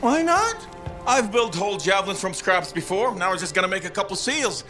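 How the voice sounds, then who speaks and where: An actor playing a brash, excitable male engineer in a video game cutscene, boisterous and swinging from grumbles to shouts. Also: high voice, fairly quick, full character voice. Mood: excited, rallying. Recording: background music under the voice.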